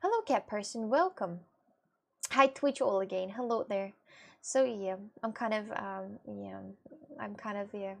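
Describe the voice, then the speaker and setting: A woman's voice: mid-range voice, creaky, a bit bright. Giggly woman. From Russia. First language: Russian. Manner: hesitant, halting English with many fillers and giggles. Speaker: livestreamer. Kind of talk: casual live-stream chat with viewers.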